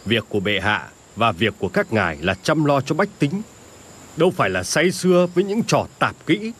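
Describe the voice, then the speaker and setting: Grave old royal tutor. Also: male voice, medium pitch, sing-song, normal speed, a bit bright, a little hiss or hum. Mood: stern, reproachful. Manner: grave, reproachful, formal. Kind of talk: animated historical drama dialogue.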